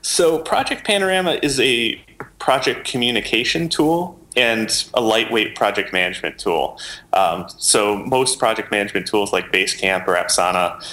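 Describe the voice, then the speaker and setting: Low, bright-voiced man explaining. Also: animated, normal speed. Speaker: interview guest, software maker. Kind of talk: conversational explanation.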